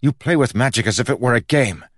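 A man voicing a furious young man whose voice rises into angry shouting. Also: a bass voice, a little slow, gravelly, no echo, clean studio sound, heavily acted. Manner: shouting, rising, accusing. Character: furious young man, sharp-tongued, losing his temper.

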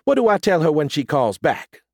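A man's voice: fairly high pitch, big pitch swings, moderate pace, gravelly, no room sound, clean studio sound, voice pushed up, full character voice. A man voicing a stern but good-humoured mother, pointed and scolding in her delivery. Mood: indignant.

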